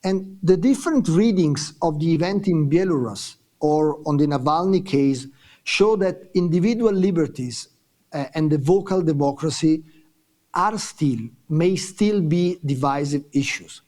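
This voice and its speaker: A man with a mellow, fairly high voice delivers prepared remarks at a conference panel. His delivery is measured and formal.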